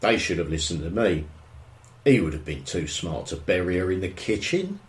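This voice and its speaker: Actor as grumbling British husband, lively low-pitched voice. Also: male voice, moderate pace. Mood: opinionated. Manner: grumbling, opinionated, matter-of-fact. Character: grumbling, nosy suburban husband with a morbid streak. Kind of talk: audio drama dialogue, naturalistic.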